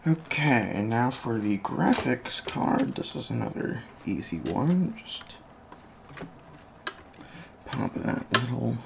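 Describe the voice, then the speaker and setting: A person doing a soft-spoken ASMR roleplay as a repair technician, murmuring hesitantly to the listener through each step. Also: mid-range voice, expressive, slow, long pauses. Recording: constant fan noise, clicks and chair creaks. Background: computer fan hum, clicking and typing.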